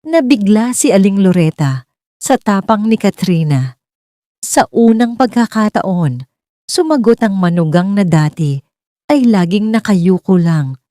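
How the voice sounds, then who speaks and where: A woman's voice: mid-range voice, expressive, measured pace, dark tone, dry recording, clean studio sound. A woman narrating a scripted romance story in a dramatic storytelling style.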